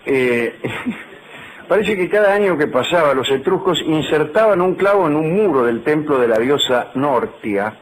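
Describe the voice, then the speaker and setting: A man's voice: a little high, expressive, unhurried, rough recording. A middle-aged man with a Rioplatense Spanish accent, hosting a radio show as a humorous lecture with dry, digressive asides. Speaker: radio host.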